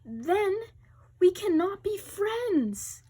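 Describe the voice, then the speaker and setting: A woman voicing an anxious, melodramatic male elephant in a storybook read-aloud, speaking haltingly with dramatic pauses. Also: very high voice, very animated, dark tone, pitched above the performer's own voice, full character voice. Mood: worried, despairing. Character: anxious, melodramatic male elephant fretting over his allergy.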